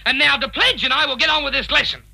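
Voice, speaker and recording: A man's voice: very high-pitched, quick pace, creaky, sharp tone, full character voice. A man playing a flustered, stern schoolteacher who stammers and blusters with indignation, in old-time radio comedy acting. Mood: exasperated.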